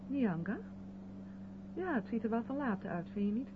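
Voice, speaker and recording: A woman's voice: fairly high pitch, lively intonation, normal speed, close to an everyday voice. An actress playing an earnest, assured woman who directs an amateur theatre group, in 1970s radio play style. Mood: matter-of-fact. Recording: muffled, noisy old radio recording.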